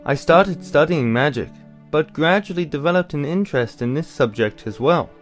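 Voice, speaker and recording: A man playing a caring, bookish older brother in an audio drama, explaining things in a measured, slightly formal way. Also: a little high, lively intonation, faint music behind, lightly acted. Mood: explanatory. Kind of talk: anime-style audio drama acting.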